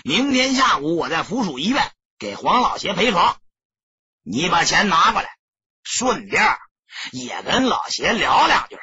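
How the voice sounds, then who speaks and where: A man voicing a menacing, extorting gang boss in an audiobook, raspy and forceful. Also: high-pitched, big pitch swings, a little slow, dull audio, a little hiss or hum, exaggerated voice. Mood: menacing, demanding. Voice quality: raspy, sharp. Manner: forceful, bullying.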